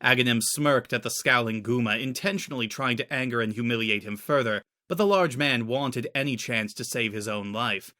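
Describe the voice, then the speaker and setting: A man's voice: mid-range voice, normal speed, few pauses, pushed and bright. A man narrating a story in the style of a dramatic audiobook reading.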